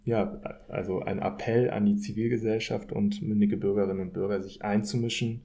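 A man in a thoughtful academic podcast discussion, speaking in a hesitant, measured way as an expert guest. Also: fairly low pitch, little pitch movement, vocal fry, mellow. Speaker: podcast guest, political scientist.

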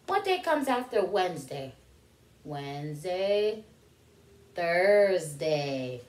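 A woman in her thirties leading children's story time, reading aloud in an animated, questioning way and stretching out key words for young listeners. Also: medium pitch. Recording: roomy indoor echo.

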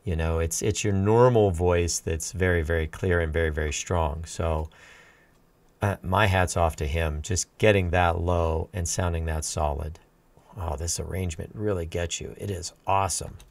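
Middle-aged male band teacher, deep low voice, easygoing rambling reaction talk, close studio mic. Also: normal speed, dry recording. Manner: relaxed, admiring, rambling with fillers. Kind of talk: casual reaction commentary. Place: small home studio room indoors. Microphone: large studio mic on a stand, close.

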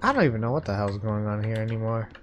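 Lively man with a cold, chatty. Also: fairly low pitch, fast, muffled recording, noisy background. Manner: chatty, reacts loudly to surprises. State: recovering from a cold, coughing. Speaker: let's play host. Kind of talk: casual gameplay commentary.

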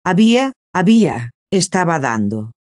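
Reads short prompts, voice goes up and down a lot, warm tone. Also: medium pitch, sing-song, moderate pace, no room sound, studio quality. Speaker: prompt reader. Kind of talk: scripted phrase prompts.

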